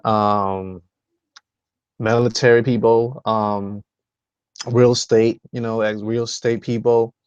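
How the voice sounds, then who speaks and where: A man's voice: mid-range voice, darkish tone, clean tone, no room sound. A man from the Dominican Republic hosting a motivational video call, talking in a rambling way with many fillers and restarts. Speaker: host.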